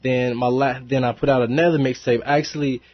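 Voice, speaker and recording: Laid-back young rapper on college radio. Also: male voice, mid-range voice, steady tone, fairly quick, dry recording. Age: young adult. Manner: laid-back, laughs often, rambling with fillers. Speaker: rapper guest. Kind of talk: casual interview chat. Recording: dry, slightly dull radio studio sound.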